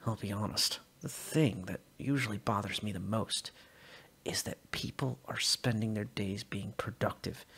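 Brief: male reader voicing a husky, whispering, icy security chief, older. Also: full character voice. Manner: low quiet whispery menace. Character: cold older male security officer, menacing.

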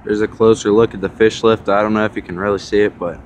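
A young man casually narrating a tour of a river dam outdoors, filming on a phone, halting with many fillers and restarts. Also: lowish voice, level tone, a little hiss or hum. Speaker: vlogger filming a local landmark. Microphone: phone camera held while walking.

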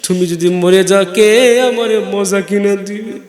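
A man preaching an emotional sermon in a plaintive, wailing, drawn-out singsong. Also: high voice, fairly even intonation, darkish tone, faint music behind. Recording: echoey hall PA with long reverb.

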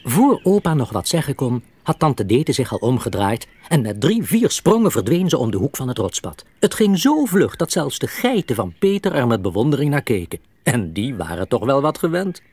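A man narrating a scripted children's story as an expressive storyteller. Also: medium pitch, moderate pace, few pauses, breathy edge, no room sound.

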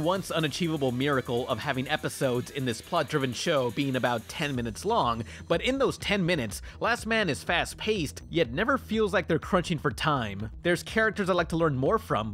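A man's voice: on the high side, a bit bright. A man narrating a scripted review as host, in a sarcastic manner.

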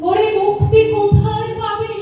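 A woman reciting dramatic poetry as a stage performer, in a declamatory, drawn-out way. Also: very high-pitched, completely flat delivery, dull audio, noisy background. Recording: distant, echoing hall, music behind.

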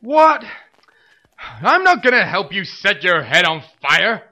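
A man's voice: high-pitched, sing-song, slow pace, bright, forceful tone, full character voice. A man playing a pompous, earnest superhero commander in a comedy audio drama, with heroic formality that slips into friendly chat. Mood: incredulous.

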